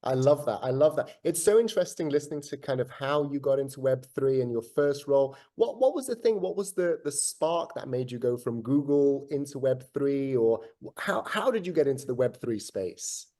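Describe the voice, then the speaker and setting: A man's voice: medium pitch, animated, moderate pace, few pauses, dark tone. A man hosting an interview podcast, chatting in an effusive, upbeat way and often repeating his phrases. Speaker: podcast host.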